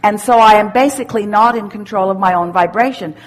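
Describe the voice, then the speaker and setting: A woman teaching interactively as a seminar speaker, emphatic and deliberate, repeating phrases and posing questions. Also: medium pitch.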